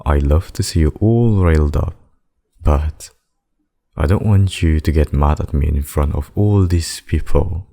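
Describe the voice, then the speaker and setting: A man's voice: very deep voice, measured pace, gravelly, dark tone, full character voice. A man playing a possessive, smug demon king in a roleplay ASMR, courting with theatrical, courtly menace. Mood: fond, teasing.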